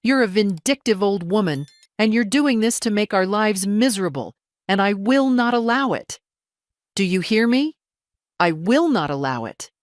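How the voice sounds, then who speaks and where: A woman's voice: medium pitch, animated, moderate pace, no echo, studio quality, voice pushed up, natural voice. A woman voicing an angry, controlling younger daughter-in-law in an audio story, indignant and scolding, on the edge of panic. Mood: furious.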